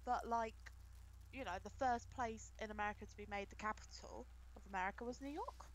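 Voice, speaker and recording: A woman's voice: on the high side, fairly quick, slight background noise, rough recording. A young English woman with a Midlands accent, chatting casually and giggling while running a quiz. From England. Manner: giggly, chatty, rambling explanations. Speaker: quiz co-host.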